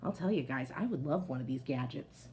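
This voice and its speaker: A woman's voice: very deep voice, expressive, a little fast, mellow, a little hiss or hum. A woman speaking like a teacher at story time, reading aloud to children and chatting in explanatory asides, often checking in with her listeners.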